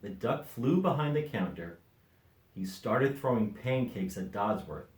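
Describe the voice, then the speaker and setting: A middle-aged man reading a children's storybook aloud as an expressive narrator. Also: on the low side, measured pace, roomy sound. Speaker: volunteer story reader.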